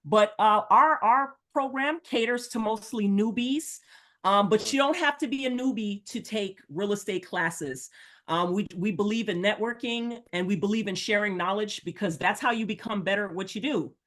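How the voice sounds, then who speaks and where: A woman's voice: medium pitch, normal speed, a bit bright. Chatty middle-aged woman on a video call. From born in Jamaica, grew up in the United States. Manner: chatty, enthusiastic, rambling. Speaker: real estate coach, guest. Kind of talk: casual webinar talk.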